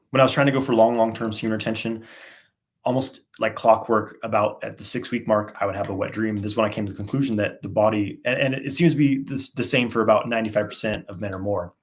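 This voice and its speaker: A man in his thirties answers viewer questions on a live stream. His voice sits on the low side, and he talks in a loose, relaxed way with plenty of fillers. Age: 30s. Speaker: host. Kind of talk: live Q&A, casual chat.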